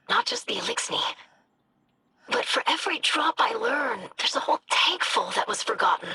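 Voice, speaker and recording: A woman's voice: mid-range voice, noisy background, close to an everyday voice. A woman voicing an earnest, kind, hopeful young female alien scholar in a video game.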